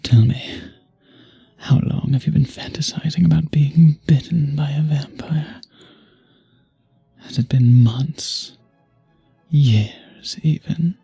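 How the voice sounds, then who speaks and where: A charming, predatory vampire, played by a man, murmurs slowly and teasingly in an intimate ASMR roleplay. The voice is very breathy and husky, often slipping toward a whisper, with music playing faintly behind it. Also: on the high side, dark tone, full character voice. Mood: seductive, teasing. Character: charming, seductive male vampire, flirtatious and predatory.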